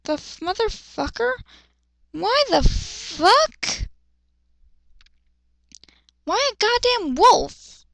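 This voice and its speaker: Amateur female reader-critic: sharp, creaky, sarcastic, foul-mouthed and theatrically exasperated. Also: extremely high pitch, very animated, very slow, pauses often, no echo. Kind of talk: dramatic reading with sarcastic ranting asides.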